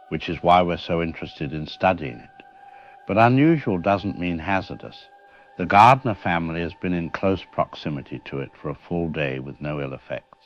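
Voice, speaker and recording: Radio drama expert, played by a man: deep-voiced, deliberate academic, calmly reassuring, dull muffled sound with faint music. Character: measured, reassuring male science professor, experienced expert. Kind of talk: scripted expert interview in audio drama.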